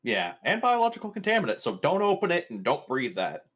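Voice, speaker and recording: Animated man playing a tabletop game. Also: a little high, a little fast, breathy edge. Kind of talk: casual in-character game chat.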